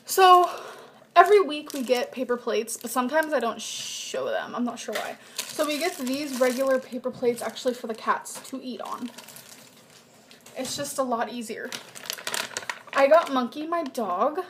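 A young woman showing her groceries in a casual home vlog, chatty and reading off nutrition numbers in a flat list, recorded on a webcam in her kitchen. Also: sits high, normal speed, noisy background. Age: young adult. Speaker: vlogger. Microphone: built-in webcam mic at desk distance.

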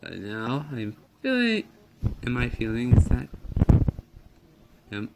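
A man's voice: medium pitch, big pitch swings, slow, vocal fry, a little hiss or hum, poor audio. A young man casually commenting over a game, rambling and joking, mumbling through on-screen text. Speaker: gameplay video maker.

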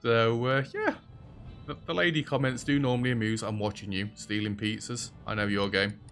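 A young British man with a rather deep voice, chatting casually over a game as host and joking with the characters on screen. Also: fairly even intonation, moderate pace, fairly bright tone, soft background music. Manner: chatty, self-deprecating, talks to game characters. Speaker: gaming video host. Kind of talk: casual let's-play commentary. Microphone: headset.

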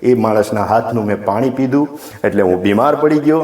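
A man's voice: mid-range voice, brisk, a little mellow, natural voice. Gujarati guy doing a superstitious sick emperor, insistent and justifying himself, roomy echo. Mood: accusing. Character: suspicious, superstitious ailing male emperor. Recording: echoey, roomy sound.

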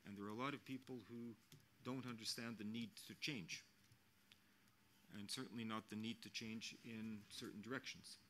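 A man's voice: fairly low pitch, steady tone, bright, forceful tone, a little hiss or hum. Earnest older man at a panel desk. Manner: measured, earnest, with frequent restarts and fillers. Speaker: international mission official on a panel. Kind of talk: unscripted panel remarks. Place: press center hall. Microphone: desk microphone.

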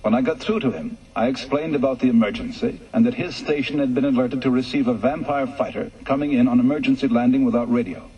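Brief: male radio storyteller, very husky, measured and earnest first-person reading. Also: mid-range voice, dark tone, dull audio.

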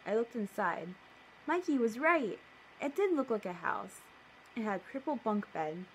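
A woman's voice: fairly high pitch, big pitch swings, moderate pace, a little hiss or hum, low-quality recording. A young woman casually reading her own story aloud on camera, breaking off for playful, mock-indignant asides. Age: young adult. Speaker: video creator. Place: living room at home, indoors.

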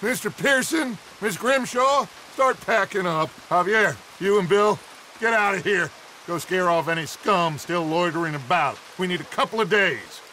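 An actor playing a proud, fraying middle-aged American outlaw leader in a video game, commanding and theatrical. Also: male voice, sits high, lively intonation, normal speed, sharp tone, slight background noise. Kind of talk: dramatic video game acting.

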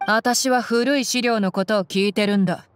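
A woman's voice: a little high, normal speed, a little hiss or hum, lightly acted. An actress playing a cool-headed, tough young mercenary woman in a video game, with a blunt, commanding manner. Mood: stern. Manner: blunt, commanding, tomboyish speech. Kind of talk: Japanese game voice acting.

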